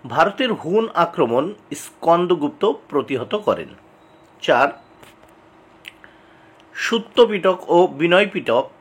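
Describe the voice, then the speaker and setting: A man giving an instructional exam lesson, reading out questions and answers deliberately, repeating key points and addressing students directly. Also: on the high side, a bit bright, slightly rough. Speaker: exam tutor.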